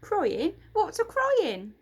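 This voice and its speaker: A woman voicing Sniff, a rude floppy-eared male creaker creature who squawks in broken grammar. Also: very high voice, huge pitch swings, drawn-out words, voice pushed up, full character voice. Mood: disgusted.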